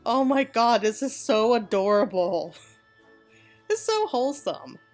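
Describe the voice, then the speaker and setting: A woman's voice: a little high, animated, unhurried, a little mellow. A woman game streamer reacting casually and gushingly to a game, sometimes reading its text aloud. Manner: gushing, sentimental. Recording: game music behind the voice. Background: video game music.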